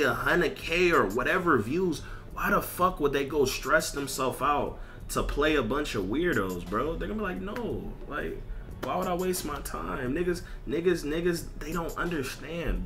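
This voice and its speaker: A man's voice: fairly high pitch, expressive, moderate pace, fairly bright tone, soft background music. An American man streamer ranting casually in slang with heavy swearing, emphatic and repeating himself. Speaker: streamer and content creator. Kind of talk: casual livestream rant over gameplay.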